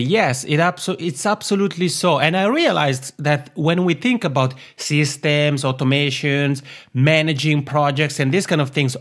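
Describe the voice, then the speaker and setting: A man's voice: on the high side, a bit bright. A man with an Italian accent, a podcast guest chatting casually and laughing often. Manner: friendly, laughs often while talking. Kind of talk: casual interview chat. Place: lives in Finland.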